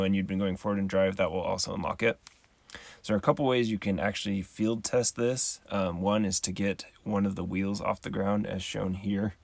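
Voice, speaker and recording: A man, the host, giving a calm, methodical voice-over tutorial on a close mic. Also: low-pitched, vocal fry, dry recording. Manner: calm, methodical, measured explaining. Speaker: channel host.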